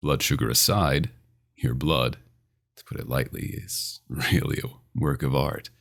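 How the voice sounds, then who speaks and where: A man playing a dominant, possessive vampire doctor in an ASMR roleplay, intimate and teasing with a smooth menace. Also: unhurried, fairly bright tone, full character voice. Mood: polite, coy. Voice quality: very deep, gravelly.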